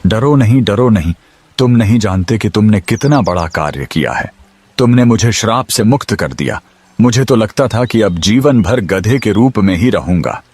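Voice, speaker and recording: A man voicing a proud, commanding king in an animated moral story. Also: lowish voice, moderate pace, no room sound. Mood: reassuring, grateful. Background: music.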